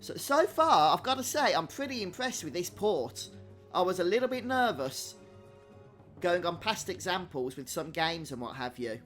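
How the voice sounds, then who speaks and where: A male gaming streamer with a slightly high voice talks casually through his play. He chats to his viewers over faint game music. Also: big pitch swings, moderate pace, a bit bright. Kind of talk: casual live game commentary, chatting to viewers.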